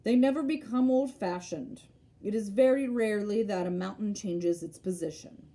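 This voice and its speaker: Storybook geographer: woman as a self-important old man, pedantic, emphatic, mid-pitched and mellow. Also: normal speed, natural voice. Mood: matter-of-fact. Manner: pompous, emphatic lecturing.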